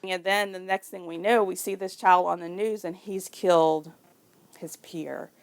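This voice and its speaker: A woman in a serious documentary interview, speaking deliberately and stressing her contrasts. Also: fairly low pitch, fairly even intonation.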